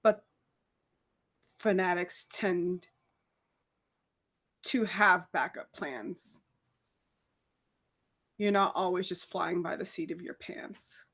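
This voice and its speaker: A woman voicing a measured, skeptical and resolute vampire in a tabletop roleplay, deliberate and dry. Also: lowish voice, steady tone, a bit bright, breathy edge, heavily acted.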